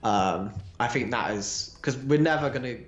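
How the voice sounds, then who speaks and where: A man's voice: mid-range voice, lively intonation, moderate pace. A man co-hosting a casual livestream, rambling and restarting his sentences. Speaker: livestream co-host. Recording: echoey room.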